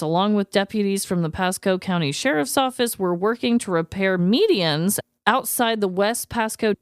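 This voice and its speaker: A woman co-hosting a podcast in casual, comedic chat, animated and quick to laugh and swear. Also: mid-range voice, clear, resonant tone, no room sound, studio quality. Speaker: podcast co-host.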